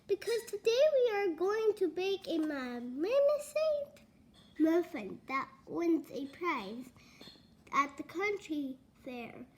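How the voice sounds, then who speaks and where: An eager storybook grandmother who likes to take charge, voiced by a young girl reading aloud. The voice is very high, and the delivery is slow and halting. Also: sing-song, a little mellow, heavily acted. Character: eager, bossy grandmother baking on a farm.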